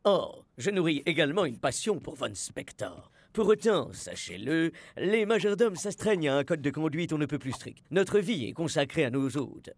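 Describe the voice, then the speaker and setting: Cartoon dub casting: formal, polite hotel butler, composed and servile, ceremonious diction. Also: male voice, a little high, big pitch swings, normal speed.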